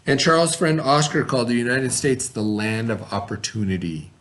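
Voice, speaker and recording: A man leads a children's read-aloud lesson in a mid-pitched voice. He talks patiently and plainly, stopping to ask the kids questions and give them time to think. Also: animated, moderate pace, fairly bright tone. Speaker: teacher.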